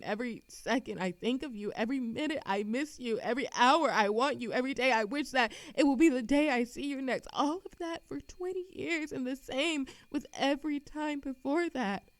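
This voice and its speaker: A woman playing a lovesick, defensive immortal in an audio roleplay, speaking in a halting, emotional way to the listener. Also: a little high, no echo, close to an everyday voice. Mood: anguished confession. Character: lovesick immortal woman, defensive, reckless, confessing to a Grim Reaper. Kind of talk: audio roleplay monologue, acted intimately to the listener.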